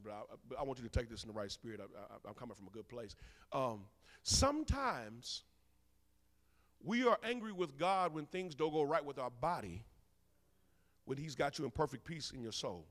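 A man, preaching a sermon as minister. He swings between loud bursts and soft asides, teasing his congregation. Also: mid-range voice, lively intonation, brisk, long pauses. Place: large church sanctuary. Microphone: handheld mic. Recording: long church hall reverb.

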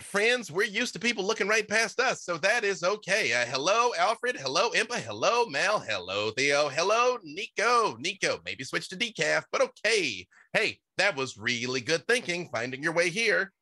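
Guy putting on a rambly talking bush, higher and bright, super dry sound. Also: very animated, normal speed, hardly any pauses, no echo, heavily acted. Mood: friendly. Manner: rambling, full of hesitant fillers. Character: friendly awakened talking shrub, chatty and apologetic. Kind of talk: tabletop game roleplay.

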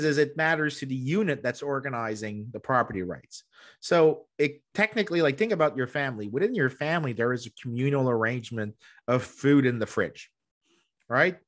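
A man's voice: mid-range voice, fairly bright tone. Man explaining emphatically on a podcast. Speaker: podcast guest, economic history scholar. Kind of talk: explanatory interview talk. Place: small room at home, indoors. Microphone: desk microphone close up, video call.

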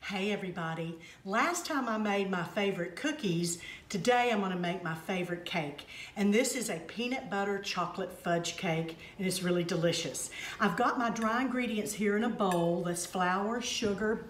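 A woman's voice: medium pitch, moderate pace. An older woman shows how to make a cake in her home kitchen. She explains each step in a homey, instructive way. Her voice bounces off the kitchen walls, with faint clatter of bowls and pans behind her. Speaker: cooking segment host. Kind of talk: home cooking demonstration. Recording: echoey kitchen with faint noise. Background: clinking pots, bowls and utensils.

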